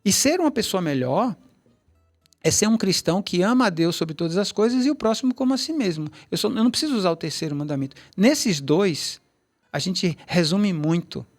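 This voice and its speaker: A man's voice: high voice, animated, brisk. A middle-aged man, a tai chi teacher, telling long rambling stories in a casual interview, with many restarts and asides. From Brazil, Bahia.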